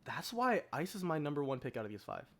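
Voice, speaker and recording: A man's voice: fairly high pitch, big pitch swings, fast, a bit bright. A young man on a podcast panel, arguing his picks in a casual, assertive and emphatic way. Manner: emphatic, assertive, argues from results. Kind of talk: casual opinionated debate.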